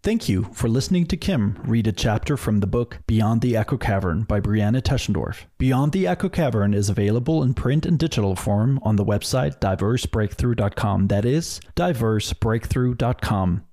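A man's voice: lowish voice, expressive, moderate pace, hardly any pauses, warm tone. A man reading a scripted podcast outro as an announcer. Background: music.

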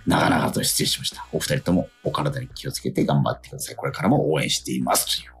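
A man hosting a radio show, chatting casually and reading out letters in a polite, animated way with frequent interjections. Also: very deep voice, fast talker, gravelly, husky. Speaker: radio show host and actor. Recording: music playing faintly behind. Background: background music.

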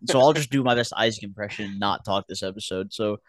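A man hosting a casual sports talk podcast with friends, teasing and sarcastic, his voice a little raspy from a sore throat. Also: fairly low pitch, fast talker, no echo, clean studio sound. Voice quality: slightly raspy. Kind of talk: casual banter among friends.

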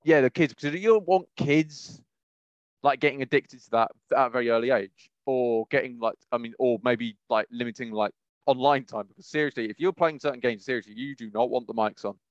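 Fast-talking young British man, dry muffled sound. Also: mid-range voice, no echo. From United Kingdom. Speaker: podcast co-host. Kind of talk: casual podcast chat.

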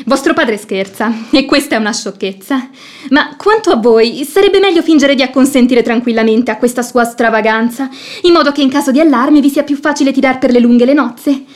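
Lady doing a bossy meddling maid in an old stage comedy on radio, high pitched, scolds a lot. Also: fairly quick, close to an everyday voice. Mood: scheming, brisk. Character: bold, meddling, sharp-witted maidservant, exasperated peacemaker.

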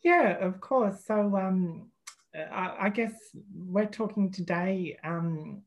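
A woman's voice: mid-range voice, takes it slowly, dull audio. A middle-aged Australian woman, an employment lawyer speaking as a podcast guest, thoughtful and hesitant, with many fillers and restarts. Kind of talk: podcast interview.

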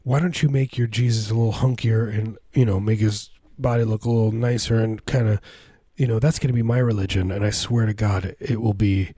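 Male comedy podcast host, hoarse and mellow, loose absurd banter. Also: fairly low pitch, steady tone, brisk, few pauses, no echo, clean studio sound. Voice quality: husky, scratchy. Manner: rambling absurd riffing. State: losing his voice, hoarse.

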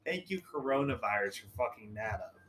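A man's voice: on the low side, lively intonation, fairly quick, a bit bright. A young man chatting bluntly and swearing a lot in casual podcast talk. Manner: profane, blunt, teasing. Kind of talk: casual chat.

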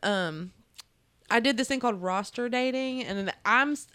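Frank woman guest, smooth clear voice. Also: fairly high pitch, sharp tone, no room sound. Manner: frank, measured storyteller. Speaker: podcast guest. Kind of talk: casual chat.

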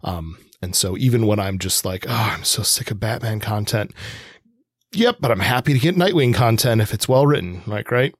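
A man's voice: on the low side, animated, moderate pace, no echo, studio quality. A man co-hosting a podcast, talking casually about comics and often stopping to qualify what he says. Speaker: podcast co-host. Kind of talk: casual conversational commentary on comics.

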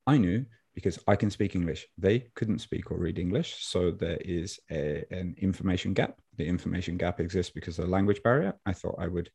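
Low mellow-voiced man telling stories. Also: vocal fry, slightly rough. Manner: animated, laughs while telling stories. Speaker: podcast guest, online fitness coach. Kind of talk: casual storytelling interview. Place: Japan.

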